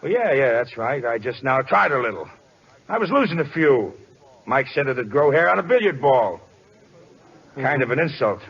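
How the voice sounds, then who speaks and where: A man playing a jittery, blustering baseball manager in an old-time radio comedy, excitable and folksy. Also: mid-range voice, moderate pace. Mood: nervous, chatty. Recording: muffled old radio broadcast recording.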